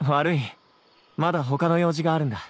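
A man's voice: fairly high pitch, steady tone, fast, faint music behind, close to an everyday voice. A man playing an earnest, troubled young scholar with polite speech in a Japanese game. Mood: earnest, apologetic. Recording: clear background noise, insect ambience.

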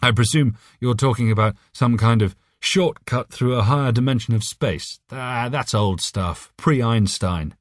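A man's voice: mid-range voice, a little slow, few pauses, no room sound, clean studio sound, pitched above the performer's own voice, lightly acted. A man voicing a drunk, cynical mathematician in an audiobook, bored, offhand and sceptical. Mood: protesting. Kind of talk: audiobook character voice.

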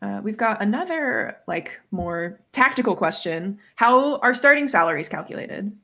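Woman hosting a livestream on video call, casual chatty phrasing, medium pitch. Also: animated, moderate pace, vocal fry, pushed and bright. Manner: informal, says "like" often. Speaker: host. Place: indoor room, video call.

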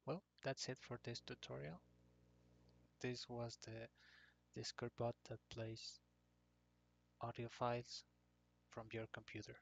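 A man narrating an informal software tutorial in short, hesitant phrases. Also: medium pitch, little pitch movement, slow pace, gravelly, long pauses. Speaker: tutorial presenter. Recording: dull lo-fi computer microphone.

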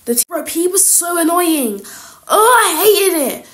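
Woman as schoolgirl, high-pitched, sassy, slangy, chatty, scheming, improvised school role-play. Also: lively intonation, normal speed, natural voice. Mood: gossipy, complaining. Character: scheming, cliquey schoolgirl plotting against teachers.

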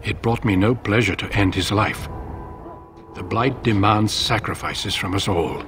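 A low-voiced, middle-aged commander of warriors in a fantasy video game. He is solemn and resolute, choosing formal, measured words. Music plays clearly behind him. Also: fairly bright tone. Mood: grave, resolute. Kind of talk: video game dramatic acting.

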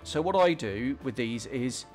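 A man talks through a hobby build in a relaxed, explanatory way, pausing on ums and restarting his sentences as he works. His voice sits at a middle pitch, and soft music plays faintly beneath him. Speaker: scale modelling channel host. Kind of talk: relaxed hobby tutorial voice-over.

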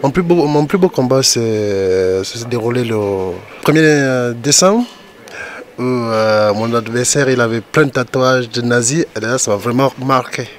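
A man from Cameroon, an MMA fighter, answering calmly and briefly in a group interview. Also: medium pitch, measured pace.

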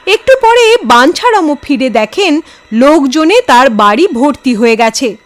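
A woman narrating a ghost story in an expressive, suspenseful, dramatic manner. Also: high voice, unhurried.

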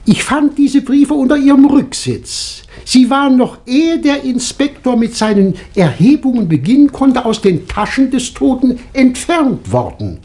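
An actor playing a shrewd, self-assured male doctor who speaks precisely and deliberately in a 1960s German radio crime drama. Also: extremely high pitch, sing-song, dark tone, exaggerated voice. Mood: measured, triumphant.

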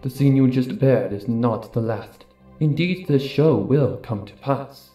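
Grave zebra wise woman, acted by a man, measured and solemn, for a cartoon fan story, music underneath. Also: medium pitch, mellow, natural voice. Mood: grave warning. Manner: solemn, measured, formal rhyming speech. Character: grave female zebra sage giving solemn warnings. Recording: music behind the voice.

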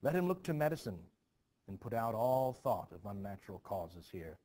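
A man's voice: fairly low pitch, big pitch swings, normal speed, mellow. Man acting anxious, stern Puritan preacher. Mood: stern, defensive. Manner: urgent, emphatic play reading.

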